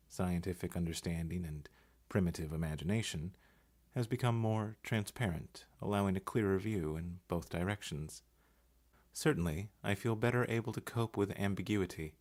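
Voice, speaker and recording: A man voice-over artist giving a measured, unhurried, hushed reading of essay and poetry. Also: deep voice, expressive. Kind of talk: scripted narration of essay and poetry.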